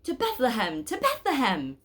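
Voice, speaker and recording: A woman's voice: on the high side, wildly swooping pitch, normal speed, fairly bright tone, faint music behind, pitched above the performer's own voice. A woman voicing a male shepherd who calls out to rally pilgrims in a storybook read-aloud. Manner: rallying, calling out. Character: rousing male shepherd with a crook, urging pilgrims on.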